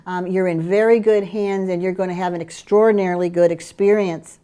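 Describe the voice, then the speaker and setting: A middle-aged woman from Curaçao with a Dutch Caribbean accent, presenting group trips in an eager sales pitch. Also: steady tone, a little fast, mellow, clear, resonant tone. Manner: enthusiastic sales pitch with fillers. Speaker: travel program presenter. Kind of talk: promotional chat about group tours.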